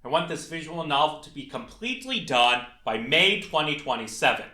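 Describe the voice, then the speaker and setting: A man's voice: fairly high pitch, lively intonation, a little fast, bright, forceful tone. A young adult man narrating a scripted video essay as a game developer. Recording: echoey, reverberant room.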